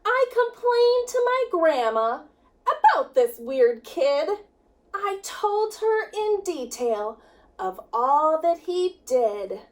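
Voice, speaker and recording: A woman with a very high voice reads a children's picture book. Her delivery is slow and sing-song, with lively swings in pitch and emphatic, drawn-out words. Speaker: children's ministry director reading storytime.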